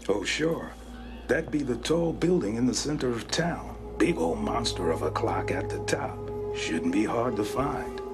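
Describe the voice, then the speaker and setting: An enigmatic American mailman from a video game, acted by a man. He talks slowly and knowingly, with a folksy, weary air and a lively rise and fall, while music plays behind him. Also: medium pitch, close to an everyday voice. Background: background music.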